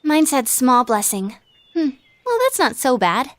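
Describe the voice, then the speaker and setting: Teenage idol girl, high voice, American accent, cutesy, coy, confident, playful anime game style. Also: very animated, measured pace, no echo. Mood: sweet. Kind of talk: anime video game dub acting.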